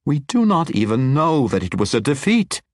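A man voicing an imperious female dark elf matriarch, sharp and demanding. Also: medium pitch, sing-song, a little slow, slightly rough, no room sound, studio quality, pitched above the performer's own voice. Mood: commanding. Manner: sharp, demanding, raised intensity.

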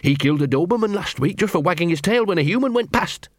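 A man's voice: sits high, animated, quick pace, no echo, clean studio sound, voice pushed up. A man voicing an anxious little scruffy dog. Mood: fearful, fascinated. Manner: miserable, moaning, muttering. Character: small anxious scruffy male talking dog, fearful. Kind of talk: audiobook character voice.

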